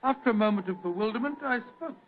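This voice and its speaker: An actor playing an elderly British doctor who reminisces in a fussy, hesitant, gentlemanly way, as in vintage radio drama. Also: male voice, high-pitched, fast talker. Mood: storytelling. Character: elderly genial doctor reminiscing, easily flustered and indignant. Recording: muffled, noisy old radio drama recording.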